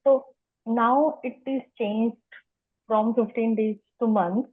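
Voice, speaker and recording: A woman's voice: on the high side, steady tone, slow, narrow, tinny audio. A woman who stammers, repeating words and sounds as she answers interview questions. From India. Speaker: interview guest. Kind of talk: conversational interview.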